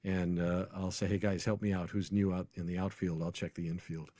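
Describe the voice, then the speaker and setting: A man's voice: low-pitched, little pitch movement, fairly quick, warm tone, no room sound. An American man speaking as an announcer guest in a casual sports interview, telling anecdotes in a conversational way.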